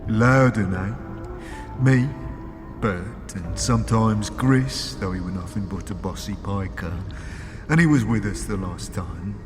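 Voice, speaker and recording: A man voicing an amiable, mangled undead scavenger with rough rustic speech in a fantasy audio drama. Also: on the low side, slow pace. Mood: rueful. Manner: colloquial, rustic grammar and slang. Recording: long cavernous reverb, music behind.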